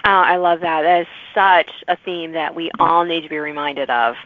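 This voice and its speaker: A woman's voice: low voice, fairly even intonation, moderate pace, dry recording. Phone-like woman podcast host. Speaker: podcast host interviewing authors. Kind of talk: conversational author interview. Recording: narrow phone-like sound, dry.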